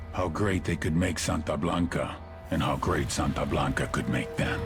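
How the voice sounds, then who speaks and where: An actor playing a brooding, menacing, proud cartel boss, speaking gravely and with command in a dramatic scripted style. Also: male voice, rumbling low. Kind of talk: dramatic scripted narration and dialogue.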